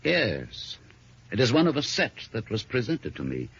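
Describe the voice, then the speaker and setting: An actor playing a suave, guarded gentleman suspect in a radio mystery, polished and courteous but evasive. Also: mid-range voice, wildly swooping pitch, measured pace, slightly rough, full character voice. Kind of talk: old-time radio drama acting. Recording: muffled old radio recording.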